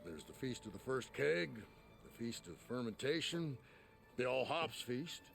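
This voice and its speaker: An actor playing a hearty old wordsmith in a video game, telling things in a grandiose, theatrical, gravelly voice. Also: male voice, mid-range voice, big pitch swings, measured pace, a bit bright, exaggerated voice. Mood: curious, jovial. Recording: music behind the voice.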